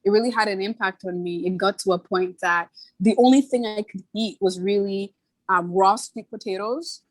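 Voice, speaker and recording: A woman's voice: mid-range voice, no echo. Candid young woman telling her story. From born in Ghana, raised in Toronto. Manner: earnest, candid, quick to laugh. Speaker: podcast guest, brand founder. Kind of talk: casual interview storytelling.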